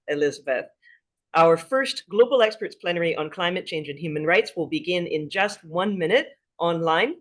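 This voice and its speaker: A woman's voice: sits low, a bit bright. A woman acting as co-chair, moderating a formal online conference in an effusive, ceremonious way.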